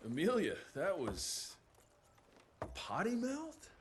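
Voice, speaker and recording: A man's voice: high-pitched, wildly swooping pitch, takes it slowly, background noise, exaggerated voice. A man playing a tough, wry cowboy vampire hunter in a video game, laconic and salty. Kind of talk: video game cutscene acting.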